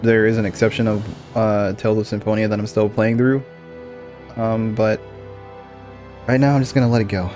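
A groggy man who has just woken up, talking through a let's-play in a lowish voice. He rambles, trails off and restarts his sentences. Faint game music plays under him. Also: flat delivery, normal speed, pauses often, darkish tone. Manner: rambling, many fillers and restarts. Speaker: gaming channel host. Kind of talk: casual let's-play commentary.

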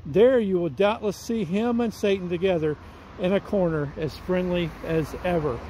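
A man's voice: high voice, moderate pace, mellow, a little hiss or hum. A man in his sixties giving an earnest devotional reading and prayer, projecting his voice outdoors. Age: 60s. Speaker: lay Bible teacher and reader. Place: outdoors in a church parking lot.

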